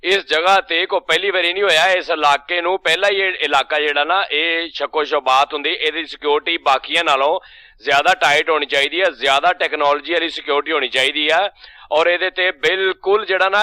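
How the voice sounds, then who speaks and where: A man's voice: fairly high pitch, pushed and bright, no room sound. A man with a Pakistani Punjabi accent playing an opinionated, aggrieved pundit who rants emphatically in a parody political debate. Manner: emphatic, repetitive, ranting.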